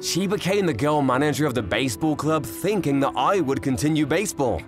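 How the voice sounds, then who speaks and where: A man voicing a cocky, good-natured teenage boy in a manga dub, casual and expressive. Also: a little high, a little fast, over music, lightly acted. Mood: reflective. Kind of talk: manga dub narration and dialogue.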